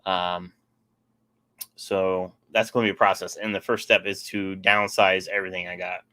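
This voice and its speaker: A man's voice: low-pitched, little pitch movement, moderate pace, bright, forceful tone. A young man casually hosting a live stream, rambling and answering chat, his voice getting sore.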